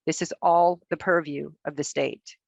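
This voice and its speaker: A woman from Northern Norway, a researcher giving a prepared academic presentation, reading her text in a measured way. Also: low voice, steady tone, vocal fry, no echo.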